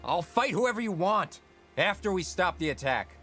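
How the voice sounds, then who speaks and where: Earnest young deserter soldier. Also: male voice, sits high, lively intonation, normal speed, bright, forceful tone, faint music behind, full character voice. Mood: urgent pleading. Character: earnest young male rebel deserter soldier, remorseful and pleading. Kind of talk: video game cutscene acting.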